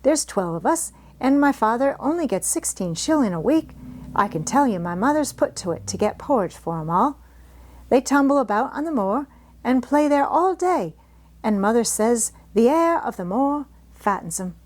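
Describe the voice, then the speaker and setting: Chatty young housemaid, acted by a woman, lively and lilting. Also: fairly high pitch, normal speed, pitched above the performer's own voice. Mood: cheerful, chatty. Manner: rattling on in homely, outspoken dialect. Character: good-tempered, chatty young Yorkshire housemaid, plain-spoken country girl.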